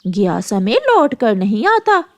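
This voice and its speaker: Meddling female crow for cartoon, woman narrator performing: gossipy, scolding, high voice with big swings. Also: huge pitch swings, slow, heavily acted. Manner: accusing, meddling.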